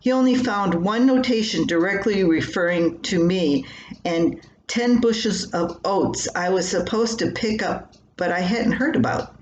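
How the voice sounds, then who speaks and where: An older woman reading her own homespun column aloud in an unhurried, plainspoken manner. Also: medium pitch, animated, darkish tone, lo-fi sound. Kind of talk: homespun scripted storytelling, read aloud. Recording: roomy echo with faint background noise.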